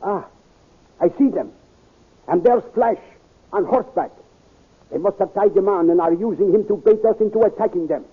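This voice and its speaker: Husky, commanding warrior prince. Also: male voice, high voice, moderate pace, pauses often. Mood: decisive. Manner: declamatory, authoritative. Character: gallant, commanding prince of winged warriors at war. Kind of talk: 1930s radio acting. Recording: tinny old radio recording.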